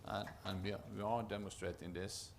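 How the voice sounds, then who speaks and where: A man with a Norwegian accent giving a conference presentation as a project manager, often halting to restart and repeat words. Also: sits low, level tone, vocal fry, slight background noise. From Norway.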